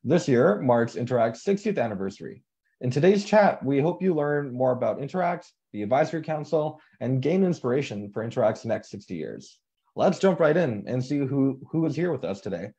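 A man's voice: mid-range voice, very animated, fairly quick, warm tone. Upbeat young man moderating a video call. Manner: upbeat, encouraging. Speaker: council chair and moderator. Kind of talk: moderating a live video panel. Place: home room. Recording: slightly muffled video call audio.

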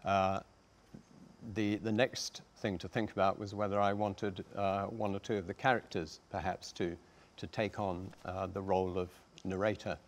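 A middle-aged man explaining his craft on a panel in a theatre, speaking in a measured, deliberate, formal way. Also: low-pitched, little pitch movement. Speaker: panellist, radio dramatist. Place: theatre stage.

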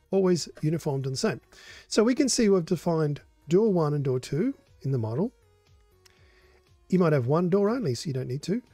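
An older man hosting a relaxed live modding tutorial, explaining code in a hoarse, coughing voice. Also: sits high, no room sound. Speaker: modding stream host.

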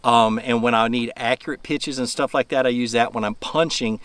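A man in his forties walks through fishing tackle in a relaxed, rambling way. He talks outdoors on a boat into a close camera mic. He stumbles and repeats words as he goes. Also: medium pitch, a little fast, clear, resonant tone. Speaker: fishing channel host. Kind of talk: casual tackle tutorial.

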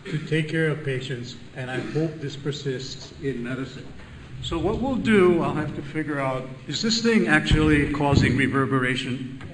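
Older man, from Hawaii, loud voice, self-deprecating medical lecture, distant mic, faint room ambience. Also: on the high side, lively intonation, moderate pace, reverberant, a little hiss or hum. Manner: chatty, self-deprecating, laughs at own jokes. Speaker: physician lecturer. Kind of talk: conference lecture with jokes. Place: large conference room.

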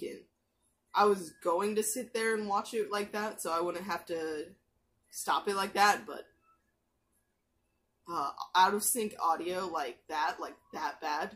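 A woman's voice: on the low side, fairly bright tone. A young woman in a small bedroom chatting casually about an episode she is watching, full of fillers and restarts. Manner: chatty, many fillers and restarts, quick asides. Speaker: anime reaction youtuber. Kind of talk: casual rambling commentary. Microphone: gaming headset mic.

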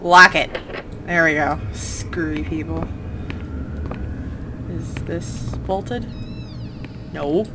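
A woman's voice: deep voice, steady tone, very slow, long pauses, very noisy. A woman chatting casually as she plays a video game, reacting in short muttered remarks and exclamations. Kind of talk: casual let's play chat while playing.

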